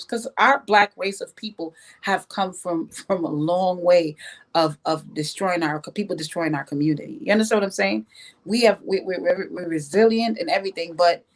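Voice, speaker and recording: A woman from Jamaica giving opinions in a podcast discussion, speaking emphatically and repeating phrases to drive them home. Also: lowish voice. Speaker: podcast guest.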